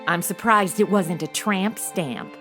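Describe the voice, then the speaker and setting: A woman's voice: on the low side, measured pace, close to an everyday voice. A woman playing a sarcastic, outspoken young modern granddaughter with slangy delivery in a comedy audio drama. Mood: snarky. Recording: music under the voice.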